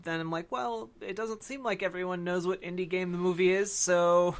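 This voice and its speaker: A man's voice: sits high, steady tone, moderate pace. A man hosting a podcast, talking casually about films in a conversational way. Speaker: podcast host. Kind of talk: casual film discussion.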